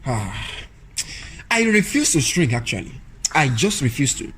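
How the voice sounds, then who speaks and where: A man with a Nigerian accent playing a devout, principled and weary officer in a radio drama, speaking in a halting, solemn way. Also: medium pitch, big pitch swings, fairly bright tone, slight background noise. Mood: solemn, defiant.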